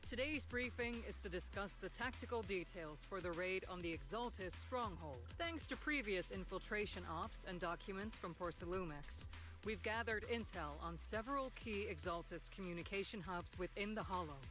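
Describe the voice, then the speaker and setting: A businesslike video game military officer. The voice is high-set, formal and measured, with faint music behind it. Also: male voice, lively intonation. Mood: composed, businesslike. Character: composed, formal military officer briefing a squad.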